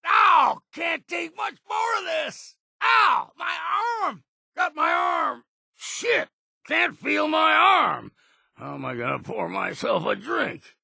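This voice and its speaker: Gruff old island drinker for a game, super gravelly, slow folksy drawl, wry. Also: male voice, extremely high pitch, very animated, sharp tone, no echo, shouting, clean studio sound, exaggerated voice. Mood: pained, alarmed. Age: older. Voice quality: gravelly, husky. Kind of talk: video game character voice lines.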